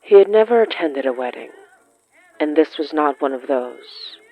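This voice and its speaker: A narrator telling a scripted literary horror story in a measured way, with dramatic pauses between short phrases. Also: mid-range voice, expressive, warm tone, rough recording. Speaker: narrator of a horror fiction podcast.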